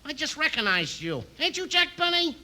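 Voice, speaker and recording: High-voiced, eager gas station attendant. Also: male voice, big pitch swings, normal speed, sharp tone, exaggerated voice. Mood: starstruck. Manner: folksy, pestering, eager. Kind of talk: 1950s radio comedy character acting. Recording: noisy vintage 1950s radio recording.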